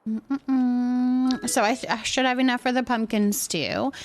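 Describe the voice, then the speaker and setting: A woman's voice: a little high, fairly even intonation, takes it slowly, a little hiss or hum. A woman hosting a game livestream, chatting casually with viewers in a giggly, talkative manner. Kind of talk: casual chat with viewers while playing a cooking game.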